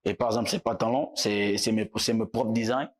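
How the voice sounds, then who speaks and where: A man from Togo, a musician guest talking in a casual interview, relaxed and halting, often repeating words. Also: fairly low pitch.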